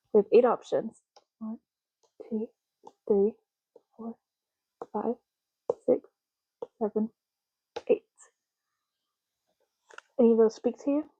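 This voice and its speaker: A woman speaking softly in an ASMR roleplay, hushed, unhurried and gently explanatory, close to the microphone. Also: a little high, slightly rough, dry recording, highs cut off. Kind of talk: soft-spoken ASMR roleplay.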